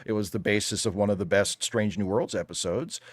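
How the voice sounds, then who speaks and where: A man's voice: lowish voice, brisk, no room sound, clean studio sound. A man chatting casually and opinionatedly as a panel guest, emphatic and wry, sometimes slipping into mock quotes.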